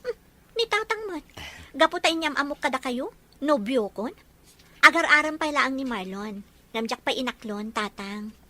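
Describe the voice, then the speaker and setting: A woman's voice: sits high, animated, normal speed, long pauses, pushed and bright. A woman playing a guarded, modest young working woman in an audio drama. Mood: embarrassed. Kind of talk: Ilocano audio drama acting.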